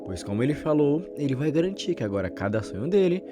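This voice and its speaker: A young Brazilian man hosts a video commentary with lively, swinging intonation. He talks in a wordy, measured storytelling way. Prominent music runs behind his voice. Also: on the high side. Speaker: video essay host. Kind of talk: conversational scripted commentary. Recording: background music under the narration.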